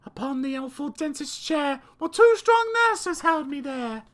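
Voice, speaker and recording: A woman's voice: high voice, expressive, moderate pace. A British woman narrating children's verse as an audiobook reader, theatrical, dramatic and precise.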